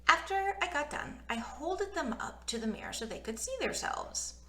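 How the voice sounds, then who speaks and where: A woman reading a children's story aloud in an expressive, childlike, animated way. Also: sits high, normal speed. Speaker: children's book reader.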